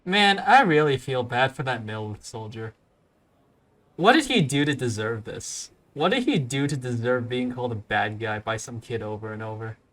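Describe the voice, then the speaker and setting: Wry young man, mid-pitched gaming commentary. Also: lively intonation, moderate pace, fairly bright tone. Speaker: let's play streamer. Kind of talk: casual game commentary.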